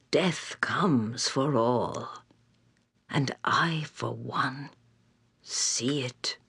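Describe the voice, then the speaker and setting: Husky, slow old seer woman. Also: a bass voice, no room sound, full character voice. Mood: resigned, solemn. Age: older. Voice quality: breathy, husky, aged. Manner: slow, grave, portentous. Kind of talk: dramatic fantasy game voice acting.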